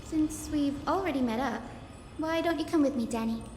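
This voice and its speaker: Woman acting a high, sweet young sky maiden. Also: normal speed, darkish tone, natural voice. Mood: friendly. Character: gentle, cheerful young sky-folk woman with an earnest dream. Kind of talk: video game character acting. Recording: echoey game audio over music.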